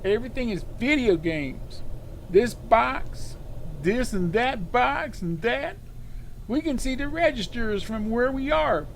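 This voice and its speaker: A man's voice: very high-pitched, animated, slow pace, creaky, slight background noise, exaggerated voice, poor audio. A man playing a wistful, huggable stuffed bear come to life in an audio drama, folksy and childlike. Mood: plaintive longing. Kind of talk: cartoonish audio drama acting.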